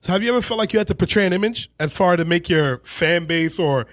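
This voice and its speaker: A man with a strikingly high voice talks very quickly as a radio co-host. His casual banter is animated, full of interjections and repeated phrases. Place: radio studio.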